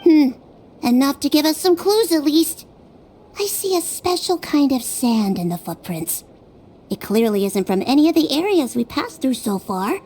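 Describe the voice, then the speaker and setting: Careful non-human sleuth, high and lilting, thinks aloud step by step, faint music behind. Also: female voice, expressive, normal speed, a little hiss or hum, poor audio. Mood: thoughtful. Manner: methodical reasoning aloud. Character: young female Melusine detective, analytical, gentle. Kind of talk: video game voice acting. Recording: game audio with soft music.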